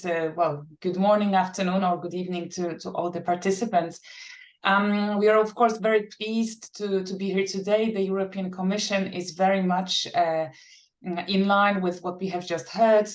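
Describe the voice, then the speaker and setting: Measured woman giving formal panel remarks. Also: mid-range voice. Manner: measured and formal, with filler pauses. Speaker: panelist, policy team leader. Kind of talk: prepared conference remarks.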